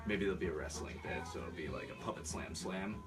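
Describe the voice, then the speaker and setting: A man's voice: a bass voice, animated, quick pace. A man hosting a casual, chatty interview in a laid-back, joking way. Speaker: host interviewer. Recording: distant mic with music behind.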